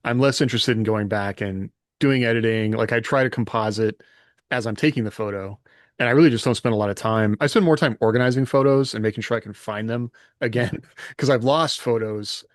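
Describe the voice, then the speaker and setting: A man's voice: medium pitch, fairly quick, dry recording, studio quality. A man chatting casually as a co-host on a podcast.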